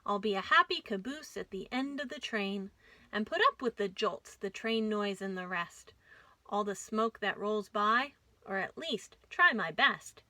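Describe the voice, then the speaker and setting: Woman voicing an earnest, bright little storybook caboose. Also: mid-range voice, lively intonation, a little slow, dry recording. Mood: resolute. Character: plucky little female caboose, earnest and dreamy.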